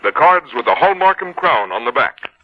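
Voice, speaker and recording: Man, vintage radio announcer, formal ad read, husky, mid pitch, lo-fi sound. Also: very animated, normal speed. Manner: formal, polished. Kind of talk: scripted 1950s radio commercial announcing. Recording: muffled 1950s radio recording.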